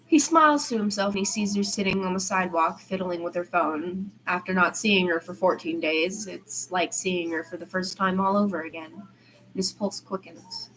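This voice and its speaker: A woman's voice: fairly low pitch, normal speed, a bit bright, rough recording. A woman reading a story aloud in an informal way, stumbling over words now and then and correcting herself. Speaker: amateur fan fiction podfic reader.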